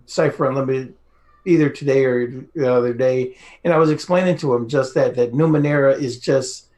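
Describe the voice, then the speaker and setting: A man's voice: medium pitch, normal speed, a little mellow. A man chatting casually as a podcast interviewer in a rambling, conversational way. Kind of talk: casual chat.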